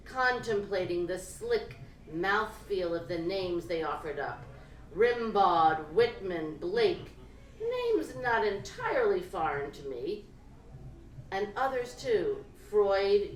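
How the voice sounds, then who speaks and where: Female reader for live literary fiction: unhurried, deliberate, dramatic phrasing, heard at a distance in an echoey room. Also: mid-range voice. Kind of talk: expressive literary reading of first-person prose. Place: indoor live reading event. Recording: roomy, distant live-event recording with noise.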